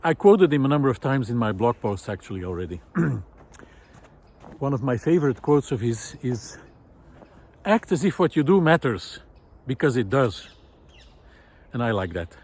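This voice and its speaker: A man giving an informal, reflective solo talk in a thoughtful, halting way, pausing deliberately for emphasis. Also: medium pitch, big pitch swings, a little mellow. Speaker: host of a personal audio series.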